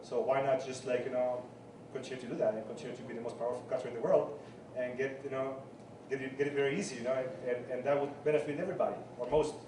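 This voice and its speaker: A man's voice: mid-range voice, little pitch movement, fast talker, dark tone. Hesitant Chilean man in an echoey hall. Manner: hesitant, many restarts and fillers. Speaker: audience member. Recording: echoey hall from far off, noisy.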